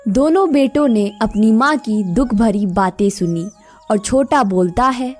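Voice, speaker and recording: Woman storyteller, scripted narration, higher pitch, very slow pace. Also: a little mellow, soft background music.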